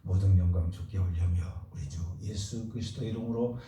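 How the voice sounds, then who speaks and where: A low, dark-toned man preaching with a slight hoarseness from a cold. He moves between a fervent formal prayer and relaxed, chatty announcements. The sound is roomy and distant, caught from afar in a church hall. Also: moderate pace. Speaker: pastor. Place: church sanctuary.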